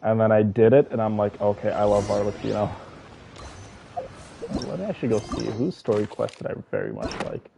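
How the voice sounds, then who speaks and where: A man's voice: on the low side, sing-song, fairly quick, gravelly, background noise. A man streaming a game, chatting casually and rambling as he plays. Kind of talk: casual gameplay commentary. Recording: voice over game music.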